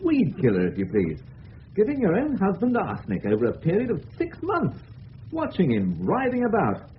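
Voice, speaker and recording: A man's voice: high voice, huge pitch swings, normal speed. An actor playing a chummy, opinionated, old-fashioned middle-aged English father in a 1930s radio drama. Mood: indignant, chatty. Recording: noisy tinny old radio recording.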